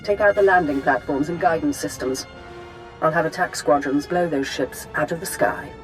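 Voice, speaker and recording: A woman's voice: low voice, moderate pace, soft background music, close to an everyday voice, low-quality recording. A woman playing a ruthless female military commander in a video game, giving clipped, menacing orders. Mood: commanding. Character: ruthless female Sith commander directing a war. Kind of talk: dramatic video game voice acting.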